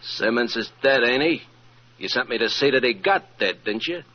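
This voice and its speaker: A man's voice: mid-range voice, animated, moderate pace, lightly acted. A man acting a cocky, thuggish hired killer with slangy tough-guy talk in an old-time radio crime drama. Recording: muffled old radio recording.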